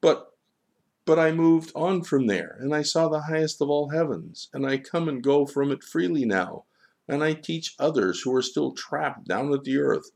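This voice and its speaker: A man's voice: mid-range voice, vocal fry, darkish tone, pitched above the performer's own voice. A man voicing a proud, defensive gull in a storybook, boastful and pleading as he protests. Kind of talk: storybook character dialogue.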